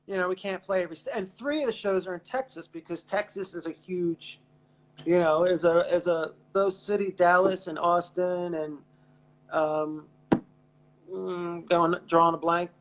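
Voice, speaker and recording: A man with an Eastern Pennsylvania accent, talking on a casual podcast, his voice sitting a bit high. He rambles loosely and swears freely. A faint mains hum runs underneath. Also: steady tone, moderate pace, low-quality recording. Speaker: band member and co-host.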